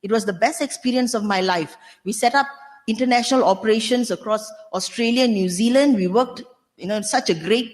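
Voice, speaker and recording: A woman's voice: fairly high pitch, fairly quick, in a large hall. Candid woman on a conference panel. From Malaysia. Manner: candid, emphatic, frequent "you know" and restarts. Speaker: panelist, senior legal counsel. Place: conference hall.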